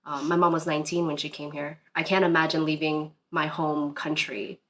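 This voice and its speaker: Low-voiced woman telling her story. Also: level tone, normal speed, a bit bright, a little hiss or hum. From Northern Virginia, US. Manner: earnest, rambling with many fillers and restarts. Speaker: guest DJ. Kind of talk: casual interview storytelling.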